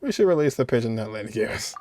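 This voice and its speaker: A man's voice: mid-range voice, big pitch swings, fast talker, mellow. A man giving casual, slangy playthrough commentary, full of joking asides and mock resignation. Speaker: gaming streamer.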